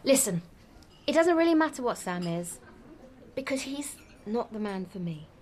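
A woman's voice: a little high, big pitch swings, normal speed, a little mellow. An actress playing a romantic, bookish woman of forty-five who talks with breezy enthusiasm. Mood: earnest. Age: 40s. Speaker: friend at lunch. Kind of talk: scripted radio drama dialogue.

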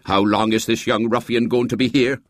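A man's voice: medium pitch, steady tone, normal speed, dry recording, studio quality, natural voice. A man voicing a gloomy, irritable middle-aged uncle in a story reading, grumbling peevishly. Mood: irritated.

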